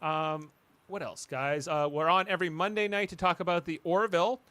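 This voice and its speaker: A man with a slightly high voice hosts a casual podcast in a dry, close sound. He rambles through announcements, hesitating and letting sentences drift off unfinished. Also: steady tone, normal speed, pushed and bright, no room sound. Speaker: podcast host. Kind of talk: casual panel chat, show announcements.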